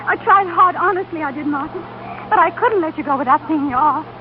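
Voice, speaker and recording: High-pitched, devoted wife, acted by a woman. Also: fairly quick, close to an everyday voice. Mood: breathless, determined. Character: devoted, pleading, impulsive young wife. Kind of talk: old-time radio drama acting. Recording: tinny, noisy old radio broadcast.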